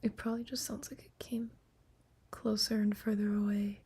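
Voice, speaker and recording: A young woman streamer whispering slowly and softly in a relaxed sleep stream, talking into a laptop microphone. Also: mid-range voice. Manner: soft unvoiced whisper, slow and breathy. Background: guitar.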